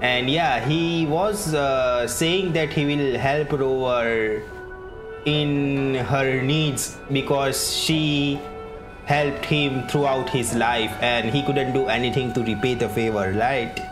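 A man doing casual playthrough commentary as a gaming streamer, halting as he thinks aloud. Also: medium pitch, fairly even intonation. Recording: game music behind voice. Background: video game music.

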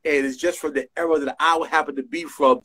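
A man's voice: fairly high pitch, steady tone, fast talker, fairly bright tone, husky. A middle-aged man with a New York area accent, a designer talking as a guest on a phone video call, using street slang and repeating himself emphatically. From New Jersey, USA. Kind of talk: casual interview on a video call. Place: small room indoors. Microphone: phone front camera.

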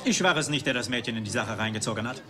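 An actor playing a suave, cynical rival archaeologist in a dubbed film, speaking in a polished, philosophical way. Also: male voice, medium pitch, rapid-fire, a crowd in the background, natural voice. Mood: suave, condescending. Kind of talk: dubbed 1980s film dialogue. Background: film music.

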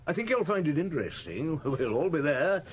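A man playing a shrewd, unhurried French police chief inspector in a radio drama, musing and wry. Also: a little high, sing-song. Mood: probing, wry. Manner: musing, deliberate, wry, hesitant pauses. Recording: old radio broadcast.

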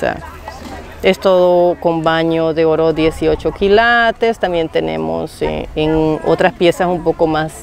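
Woman, low pitch, vocal fry, designer, casual explanatory interview, outdoors. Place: outdoors at a market.

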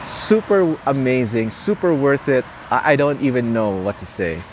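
Young male streamer who speaks Tagalog natively, casual and animated, recorded outdoors on a phone with faint street noise. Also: medium pitch, normal speed. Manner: chatty, laughs often, repeats words. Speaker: live streamer. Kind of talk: casual chat with viewers. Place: outdoors at a gas station at night. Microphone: phone camera at arm's length. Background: traffic and city ambience.

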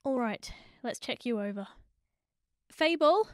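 A caring woman professor in an audio drama. Her voice is high and she takes her words slowly. The sound is dry and close. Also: expressive, no room sound. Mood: surprised, concerned.

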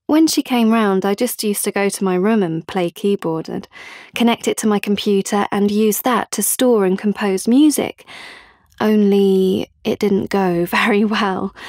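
A woman's voice: mid-range voice, measured pace, a little mellow, dry recording, clean studio sound. An actress playing an introverted, frustrated young British woman in an audio play, speaking hesitantly and reflectively. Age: young adult.

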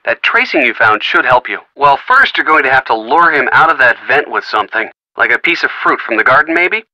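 A man's voice: mid-range voice, very animated, fairly quick, pushed and bright, no echo, muffled recording. An actor playing a friendly man in a video game who gives folksy puzzle hints with wry little asides. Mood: helpful. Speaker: hint-giving game character. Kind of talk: recorded video game dialogue.

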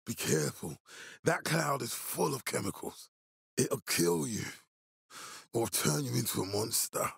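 An actor playing a menacing middle-aged military leader in a video game, authoritative, curt and measured. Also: male voice, medium pitch, lively intonation, vocal fry, husky, no echo, studio quality, heavily acted. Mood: grave, ominous. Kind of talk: dramatic video game voice acting.

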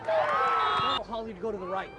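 A man's voice: sits high, moderate pace. A man calling out short instructions to players from the sideline of an outdoor field. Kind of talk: shouted sideline calls. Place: outdoors beside a sports field at night. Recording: outdoor field, heavy crowd and noise.

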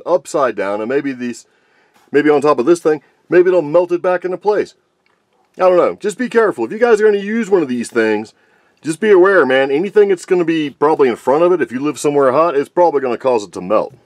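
A man in his forties from New Mexico, talking casually to camera from inside a parked van, excitable and exasperated. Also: fairly high pitch, no echo. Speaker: vlogger. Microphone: camera mounted in the car.

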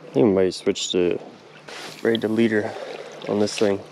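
A young man filming himself fishing on a riverbank with a head-mounted camera, chatting casually and getting excited over catches. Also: lowish voice, moderate pace, a little hiss or hum. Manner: excitable, swears when fish strike. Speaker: fishing vlogger. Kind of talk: casual running commentary while fishing. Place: outdoors on a riverbank. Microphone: head-mounted action camera. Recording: music playing under the voice.

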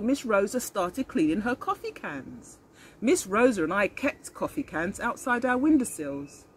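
Older woman, very lively high-pitched storyteller. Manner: expressive, explains and asks questions of young listeners. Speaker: early years teacher reading to children. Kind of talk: picture-book storytelling with asides to children. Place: outdoors in a leafy garden. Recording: outdoor garden recording with birdsong and noise.

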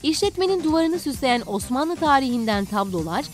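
A woman's voice: sits high, sing-song, a little fast. A woman reading scripted narration for a TV feature report. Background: background music.

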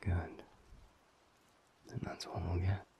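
A man playing a tender, teasing alpha werewolf boyfriend in an intimate audio roleplay, murmuring softly in a breathy, gravelly whisper with pauses. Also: close to an everyday voice. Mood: teasing, affectionate. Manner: slow, soft, pausing, murmured.

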